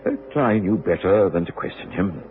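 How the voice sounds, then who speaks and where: An actor playing a loyal middle-aged British gentleman doctor, telling the story earnestly and formally in old radio drama style. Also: low voice, animated, normal speed, music in the background. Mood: earnest storytelling. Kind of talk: 1950s-60s radio drama acting and narration. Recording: muffled, noisy old radio recording.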